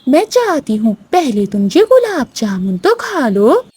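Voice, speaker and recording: A greedy, scheming female eagle from a cartoon fable, acted by a woman. The voice is high and lively in pitch, with slow, sly delivery. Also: husky, heavily acted. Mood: coaxing.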